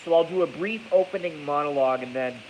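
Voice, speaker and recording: A man's voice: fairly high pitch, quick pace. A man with a congested, stuffy voice delivers a casual, rambling livestream monologue, blunt and profane, repeating phrases for emphasis. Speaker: livestream host. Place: echoey garage at night. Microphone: iPhone, moved closer during the stream. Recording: hissy noisy iPhone recording.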